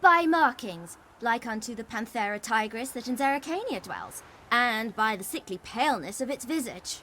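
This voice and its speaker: A woman's voice: fairly high pitch, normal speed, a little hiss or hum. An actress playing a spirited, cheeky young female witcher trainee. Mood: clever. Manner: cheeky, lively, playful.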